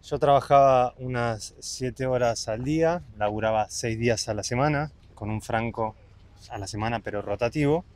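A man's voice: mid-range voice, normal speed, darkish tone. A young man with a Rioplatense accent, talking casually and matter-of-factly to camera outdoors, listing his work duties. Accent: Rioplatense Spanish. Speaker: travel vlogger. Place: outdoors on rocks by the sea, then a harbor.

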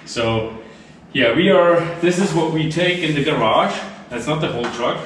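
A man's voice: on the high side, normal speed. German man in an echoey room. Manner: chatty, with many fillers and restarts. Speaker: vlogger. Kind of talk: casual gear walkthrough. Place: large tiled room indoors, then inside a truck garage. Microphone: camera mic from a few meters off. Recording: echoey room, distant camera mic, noisy.

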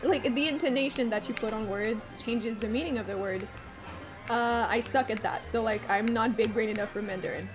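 A young woman with a French Canadian accent, whose first language is French, chatting casually and excitably while streaming a game, swearing freely and humming to herself. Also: fairly high pitch, fairly quick, rough recording. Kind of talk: casual live-stream chat while gaming. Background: faint game music.

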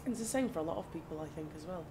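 A woman with a slightly croaky voice from hay fever, chatting casually and thinking aloud as she plays. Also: on the low side, expressive, rapid-fire. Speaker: gaming channel host. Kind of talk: casual gameplay commentary. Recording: audible background noise.

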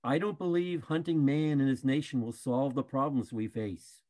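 A man's voice: medium pitch, steady tone, moderate pace, darkish tone, natural voice. An older man playing a patient panda bear peacemaker in a dramatic play reading, earnest and imploring. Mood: firm protest. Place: video call.

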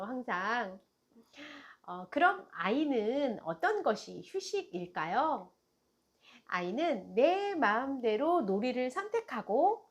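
A middle-aged woman who runs a daycare, hosting a gentle advice talk for parents in a slow, sing-song delivery. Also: on the high side. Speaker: daycare center director and channel host.

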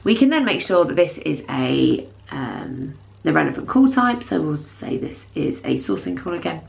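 A woman in her thirties presenting a software tutorial, explaining plainly step by step. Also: sits low, big pitch swings, moderate pace, vocal fry. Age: 30s. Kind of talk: instructional screen walkthrough.

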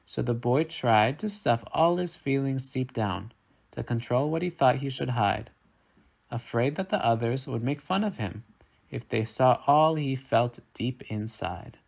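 A man reading a children's picture book aloud in an expressive, measured storytelling manner. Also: mid-range voice, creaky.

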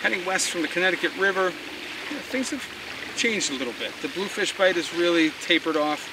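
A man hosts a fishing forecast outdoors by a harbor. His voice runs a little high and relaxed, full of casual fillers. The mic sits well back, and outdoor noise comes through loud around him. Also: a little fast, distant mic.

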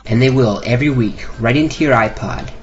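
A man's voice: medium pitch, brisk. A man reading a scripted podcast advertisement as its announcer. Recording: muffled promo with faint music.

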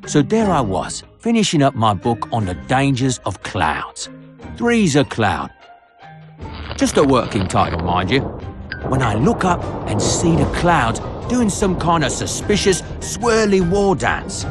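An actor playing an eccentric, self-important hermit, telling stories in a rambling, theatrical way. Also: male voice, mid-range voice, huge pitch swings, unhurried. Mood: dramatic recounting. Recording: loud music behind.

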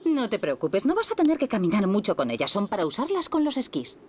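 A reassuring young cartoon mother speaks Castilian-accented Spanish with animated, patient intonation. Clear background noise sits behind the medium-pitched voice. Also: fast. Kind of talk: children's cartoon dub acting.

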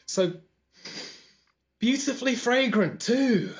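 Lovestruck pantomime prince, animated. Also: male voice, very high-pitched, slow, heavily acted. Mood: grandly resolved. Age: young adult. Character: lovestruck, posh, slightly dim pantomime prince. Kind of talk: hammy pantomime acting. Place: living room at home. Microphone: video call. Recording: dull video-call sound.

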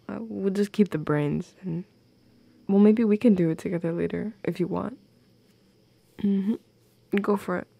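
Soft creaky-voiced teasing goth girlfriend, played by a woman. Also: medium pitch, no echo. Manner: teasing, coy, giggly. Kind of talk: intimate audio roleplay addressed to the listener.

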